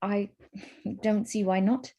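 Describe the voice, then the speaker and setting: A woman playing a witty, spirited young gentlewoman in a staged reading of a Regency romance, arch and composed. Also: medium pitch, steady tone, takes it slowly. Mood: guarded, earnest. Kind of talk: staged reading of a period drama.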